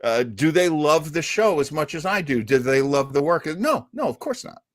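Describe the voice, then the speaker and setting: Animated man with a lively, swooping pitch. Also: moderate pace, no room sound, clean studio sound. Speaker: podcast host. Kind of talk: casual talk show discussion.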